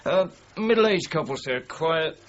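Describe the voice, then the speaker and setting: A man's voice: fairly high pitch, animated, measured pace. An actor playing a deferential British police officer in a radio drama, giving a matter-of-fact report to his superior. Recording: dull lo-fi radio recording, faint noise.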